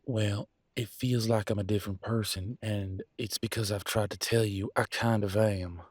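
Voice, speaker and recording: Low-voiced, weary werewolf husband played by a man. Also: steady tone, breathy edge, no echo, studio quality. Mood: weary, sarcastic. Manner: folksy, sarcastic, pausing, colloquial. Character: weary, embittered male werewolf pack leader and husband. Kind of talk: dramatic one-sided relationship roleplay audio.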